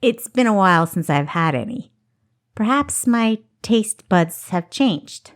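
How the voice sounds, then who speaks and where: A woman's voice: medium pitch, lively intonation, a little slow, natural voice. Polite, guarded young woman guest, acted by a narrator. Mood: coyly polite. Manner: politely formal, careful.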